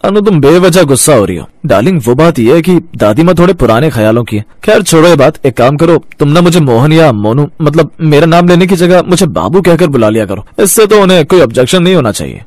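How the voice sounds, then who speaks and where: An actor playing a young, affectionate husband in a story, reasoning and coaxing. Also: male voice, a little high, big pitch swings, brisk, hardly any pauses, darkish tone, no echo. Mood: placating.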